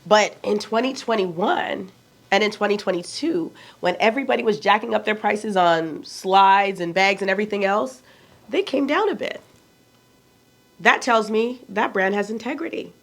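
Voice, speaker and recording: A woman in her forties with a Nigerian accent, chatting casually to camera as a fashion vlogger, blunt and candid, asking herself rhetorical questions. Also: mid-range voice. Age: 40s.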